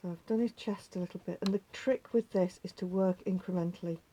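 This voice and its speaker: A woman with a slightly croaky voice, patiently talking viewers through a craft step by step and often dropping to a near whisper. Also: on the low side, steady tone, fairly quick, mellow, rough recording. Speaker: needle felting teacher. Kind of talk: hands-on craft tutorial.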